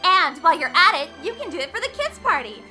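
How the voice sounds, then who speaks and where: Very high, bossy teenage anime girl. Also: very animated, quick pace, pushed and bright, faint music behind, exaggerated voice. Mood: gleefully demanding. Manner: brash, demanding, self-important. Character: bossy, hot-headed teenage girl club leader. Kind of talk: anime fan dub acting.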